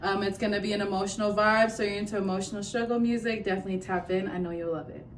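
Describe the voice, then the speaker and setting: A woman's voice: medium pitch, steady tone, rapid-fire. A woman from Western Samoa who makes music, chatting modestly and laughing often as a radio guest. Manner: giggly, modest, easygoing. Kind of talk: casual interview chat. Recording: roomy, distant mic, faint hiss.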